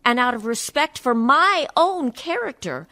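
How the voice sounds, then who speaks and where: A woman playing a proud, dutiful dead wife, speaking with pointed dignity and quiet scorn in a radio-play monologue. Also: high-pitched, very animated, a little slow, exaggerated voice. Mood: proud, resolute.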